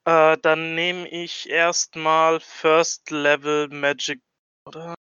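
A man's voice: a little high, monotone, slow pace, sharp tone, smooth, no room sound, dull audio. A man playing a tabletop game, chatting casually and thinking aloud in a tentative way.

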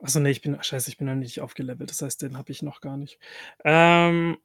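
A man's voice: medium pitch, steady tone, a little fast, a bit bright, no echo, clean studio sound. A man playing a tabletop role-playing game, chatting casually and thinking aloud, mixing in English game terms.

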